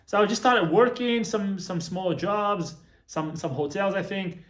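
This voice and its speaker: Man chatting casually, slightly high voice. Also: unhurried, fairly bright tone. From Morocco. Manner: casual, repeats himself, swears, laughs between phrases. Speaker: vlogger, coach. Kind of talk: casual storytelling to camera. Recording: echoey room.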